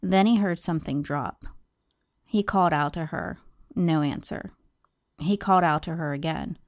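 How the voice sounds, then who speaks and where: A woman's voice: sits low, normal speed, dry recording, highs cut off. A woman hosting a true crime podcast, talking to listeners and narrating. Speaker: podcast host.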